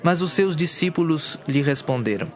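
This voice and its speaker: A man giving formal, measured scripted narration for a dramatized audio Bible. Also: a little high, animated. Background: music.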